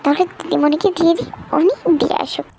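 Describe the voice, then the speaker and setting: A woman's voice: high voice, fairly even intonation, fast, creaky, noisy background. A woman voicing a dutiful, chirpy young daughter-in-law in a cartoon fairy tale. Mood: eager, obliging.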